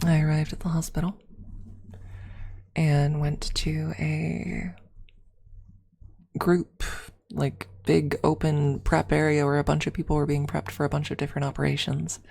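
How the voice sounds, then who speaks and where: A woman speaking softly and often in a whisper, telling a personal story as an ASMR streamer, close to the microphone. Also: low voice, flat delivery, a little slow, vocal fry. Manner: hushed, often slipping into whisper. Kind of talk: soft-spoken ASMR storytelling.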